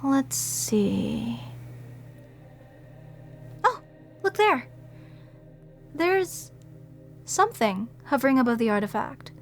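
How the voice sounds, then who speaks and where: A woman voicing a sweet, excitable, bookish museum curator in an audio roleplay. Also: high-pitched, takes it slowly, pauses often, faint music behind, exaggerated voice. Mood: explaining. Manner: eager, explaining, gushing with excitement. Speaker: voice actor.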